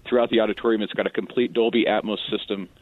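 A man being interviewed on the radio as a guest, talking conversationally and a bit haltingly over a thin line. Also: fairly low pitch, fairly even intonation, no echo. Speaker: cinema chain spokesman, interview guest. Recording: tinny narrowband phone-like line.

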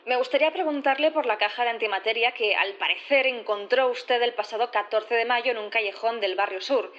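An actress in an audio drama playing a composed prosecutor who questions formally, politely and methodically. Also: female voice, fairly high pitch, fairly quick, a bit bright, muffled recording, lightly acted. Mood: polite, probing.

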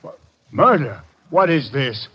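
An actor playing a surly, evasive swindler in a 1950s radio mystery, talking in blunt, tough slang. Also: male voice, medium pitch, big pitch swings, takes it slowly, slightly rough, exaggerated voice. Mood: alarmed. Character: surly, evasive male swindler and map forger. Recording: dull vintage 1950 radio recording.

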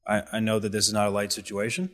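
Male podcast guest, lower register, composed and reflective, steady delivery. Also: very fast. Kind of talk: casual podcast interview.

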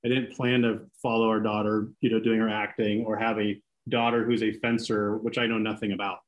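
A man's voice: on the low side, flat delivery, fairly quick, low-quality recording. A man in his forties with Greek as his first language, talking casually as a podcast guest, with many fillers and restarts. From Seattle. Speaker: interview guest.

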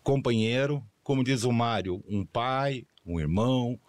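A man's voice: medium pitch, measured pace, no echo. A male journalist guest on a podcast interview, reminiscing affectionately and often adding tag questions. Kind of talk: casual interview.

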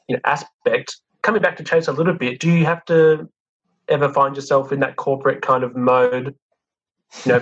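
A friendly male interviewer asks long, rambling questions with plenty of fillers. His voice is gravelly and a touch husky, and the recording sounds slightly muffled. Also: mid-range voice.

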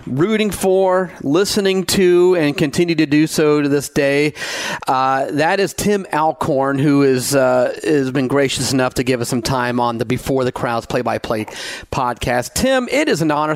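A man's voice: fairly high pitch, dry recording. A man in his forties from Northeast Ohio with an American accent, talking conversationally as a podcast host. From Northeast Ohio, United States. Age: 40s. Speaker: podcast host, high school sports broadcaster. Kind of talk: conversational podcast introduction and interview.

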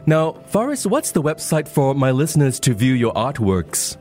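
A man's voice: mid-range voice, sing-song, normal speed, a little mellow, faint music behind. A man hosting an evening radio phone-in interview, polished broadcast delivery with frequent "you know". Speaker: radio show host.

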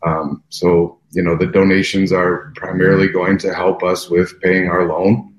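A very low-voiced man speaks in a steady, flat delivery with little rise and fall. The room around him is noticeably echoey. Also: unhurried. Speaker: wedding venue co-owner, guest. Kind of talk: interview talk.

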